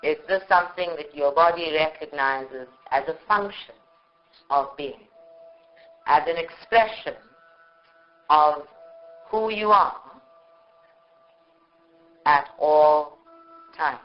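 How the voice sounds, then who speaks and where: A woman guides a meditation at a very slow pace. She speaks in short, measured phrases and leaves long pauses between them. The live recording sounds dull and lo-fi. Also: sits low, soft background music. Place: live group meditation session.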